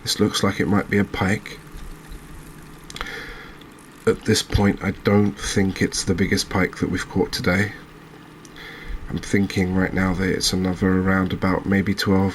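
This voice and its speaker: A man giving quiet, unhurried ASMR-style commentary as he plays a video game, musing aloud with hesitant pauses. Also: deep voice, slight background noise. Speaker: host of a gaming channel playing a fishing game.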